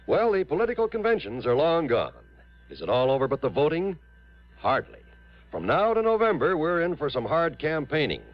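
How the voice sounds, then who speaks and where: A man's voice: fairly high pitch, wildly swooping pitch, normal speed. A male announcer in the style of 1950s-60s radio. He delivers scripted copy emphatically, with a medium voice and wide inflection. The recording is dull, with faint music. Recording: muffled old radio broadcast.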